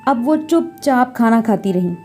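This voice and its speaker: A woman storyteller reads scripted narration in a high, mellow voice. Music plays beneath her as she tells a domestic tale. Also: lively intonation, moderate pace.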